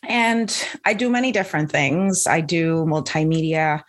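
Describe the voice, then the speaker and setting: Woman from Puerto Rico, podcast guest, lowish voice, thoughtful, many fillers. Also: lively intonation, a little slow. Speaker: podcast guest, science communicator. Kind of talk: conversational interview.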